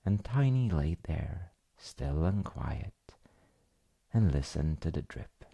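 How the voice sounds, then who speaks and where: A man narrating a bedtime story in a gentle, hushed and soothing manner. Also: a bass voice, very animated, takes it slowly, vocal fry, highs cut off. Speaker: storytelling dad character.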